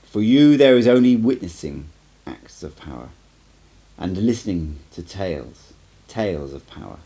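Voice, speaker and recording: A man voicing a stern, cryptic old sorcerer teacher in an audiobook, lecturing in a measured, emphatic way. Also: sits low, big pitch swings, dark tone, full character voice, low-quality recording. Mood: grave, cryptic. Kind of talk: amateur audiobook character reading.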